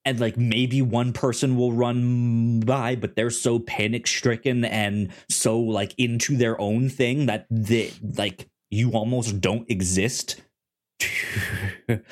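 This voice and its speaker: A man co-hosting a casual film discussion, speaking haltingly with frequent stammers and repeated words, sometimes animated. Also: mid-range voice. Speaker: podcast co-host.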